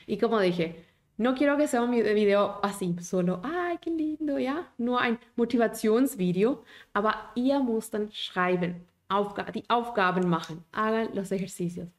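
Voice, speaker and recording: A woman, a German teacher in a live online class who talks with lively encouragement and asks her viewers many questions. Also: medium pitch, measured pace. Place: small home office indoors.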